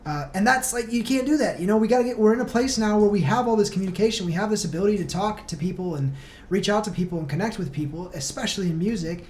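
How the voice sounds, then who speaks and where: Higher-voiced man, earnest podcast guest. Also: fast talker, a little mellow. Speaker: band singer, podcast guest. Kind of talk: casual podcast chat. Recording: faint background noise.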